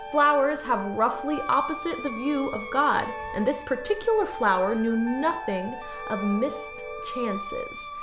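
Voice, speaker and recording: A woman's voice: fairly high pitch, natural voice. A woman voicing an eager rookie alien office clerk who loves reading a strange story aloud and begs a coworker for more time. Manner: dreamy storytelling, pleading with coworker. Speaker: voice actor. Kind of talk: audio drama acting. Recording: roomy echo over loud music.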